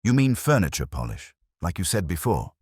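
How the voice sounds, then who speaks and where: Man reading as an eager, husky police sergeant. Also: low voice, very animated, normal speed, darkish tone, dry recording, clean studio sound, exaggerated voice. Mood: puzzled, eager. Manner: eager, questioning. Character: eager, puzzled male police sergeant, deferential junior.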